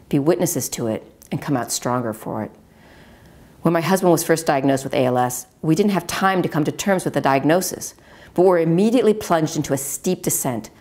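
A middle-aged American woman reading her own memoir aloud in a measured, earnest manner. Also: deep voice, darkish tone. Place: at a wooden table in a home dining room.

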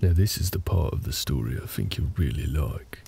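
A man playing a gentle, big orc husband in a comfort roleplay audio, telling a story in a hesitant, reassuring, gravelly way. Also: lively intonation, very noisy, heavily acted. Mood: calm storytelling. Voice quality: very deep, gravelly. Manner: slow, hesitant, reassuring, conversational.

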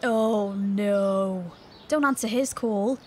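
A woman's voice: medium pitch, extremely slow, slight background noise. A woman playing a caring, breezy young British friend in an audio drama, chatty and reassuring. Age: young adult. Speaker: actor in a fiction podcast. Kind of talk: naturalistic audio drama dialogue.

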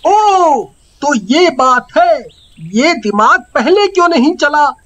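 A woman's voice: sits high, sing-song, slow pace, a bit bright, full character voice. A woman voicing a brave, clever young village boy in a cartoon, earnest and explanatory. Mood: realising, resolute.

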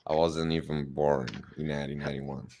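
A man's voice: extremely low pitch, little pitch movement, a little fast, dark tone. A man in his twenties hosting a show over a headset from home, teasing his co-host in casual chat. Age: 20s. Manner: teasing, playful. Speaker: podcast host. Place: home room. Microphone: headset on video call.

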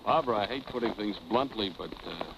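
An American actor playing a flippant, carefree husband with dry, glib delivery in a 1940s film. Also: male voice, fairly low pitch, expressive, quick pace, a bit bright, slight background noise. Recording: dull 1940s film soundtrack.

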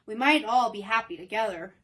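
A woman voicing a kindly, thoughtful scarecrow ruler in a storybook reading, speaking slowly and deliberately. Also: medium pitch, a bit bright, slightly rough.

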